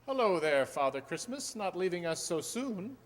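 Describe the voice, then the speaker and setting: A man playing a shrewd, courteous gentleman detective with a wry manner in an amateur radio play. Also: high-pitched, sing-song, normal speed. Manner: polite, wry, knowing. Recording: reverberant hall-like radio play recording.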